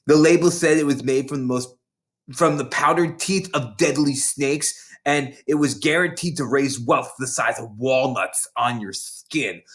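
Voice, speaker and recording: A man's voice: mid-range voice, fairly bright tone, natural voice. A man voicing a boastful, battle-hardened schoolgirl prankster who tells dramatic war stories from a children's storybook. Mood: sly, boastful.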